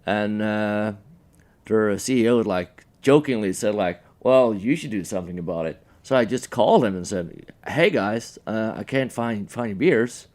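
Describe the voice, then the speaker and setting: A man's voice: lowish voice, expressive, moderate pace, a little mellow. A man in his thirties from Norway with a Norwegian accent, a brewery sales guest talking casually with many fillers and self-deprecating jokes. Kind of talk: casual radio interview.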